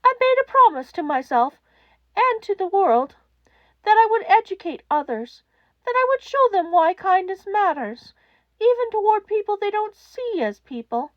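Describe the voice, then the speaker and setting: A little animal schoolmaster, played by a woman in an audiobook, kindly and earnest. He speaks in a high, lilting voice with precise, gentle phrasing. The recording is dry and slightly muffled. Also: sing-song, normal speed, no echo. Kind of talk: audiobook character acting.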